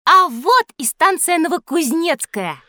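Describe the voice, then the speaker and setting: A woman's voice: very high-pitched, animated, unhurried, pushed and bright, no room sound, clean studio sound, heavily acted. A woman playing an eager, curious young girl in a scripted children's audio drama, full of wondering questions. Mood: curious, amazed.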